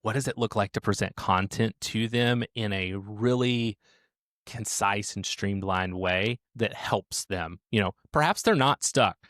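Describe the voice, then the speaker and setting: A man, a support team leader, answering interview questions in a measured, explanatory way on a remote call. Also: lowish voice, a bit bright, dry recording, clean studio sound. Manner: measured, explanatory, slows down on key points.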